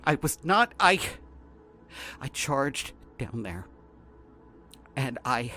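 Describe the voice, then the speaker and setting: A man playing a shaken, earnest researcher in an audio drama, giving halting, haunted, stammering testimony. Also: medium pitch, fairly bright tone. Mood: agitated. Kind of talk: dramatic first-person audio drama narration.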